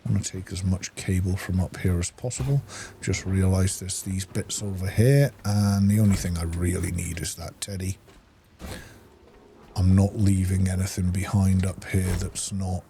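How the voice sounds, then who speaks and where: A man's voice: deep voice, steady tone, normal speed, warm tone. A man giving casual commentary as he plays a video game, half talking to himself. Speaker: gameplay streamer. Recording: clearly noisy background.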